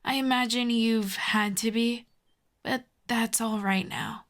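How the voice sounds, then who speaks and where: A woman playing a telepathic villain in an intimate roleplay audio drama, cool and commanding but grudgingly tender, with measured, dry, hushed delivery. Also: on the high side, fairly even intonation. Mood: soothing.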